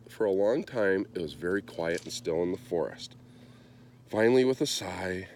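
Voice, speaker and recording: A man reading a children's story aloud in an expressive storytelling style. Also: sits low, slight background noise. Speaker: storybook reader.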